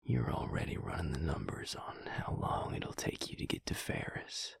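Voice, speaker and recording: A man playing a protective, brooding vampire lover in an intimate audio roleplay, with a husky, breathy voice and a hushed, intense, deliberate delivery. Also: wildly swooping pitch, in a whisper, full character voice. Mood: knowing, tense. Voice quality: husky, breathy, gravelly low voice. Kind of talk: intimate audio roleplay acting, speaking to the listener.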